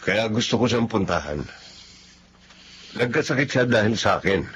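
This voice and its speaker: An actor playing a gentle, ailing male boss burdened by guilt in a Filipino radio drama. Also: fairly low pitch, expressive, moderate pace, a little hiss or hum. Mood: earnest.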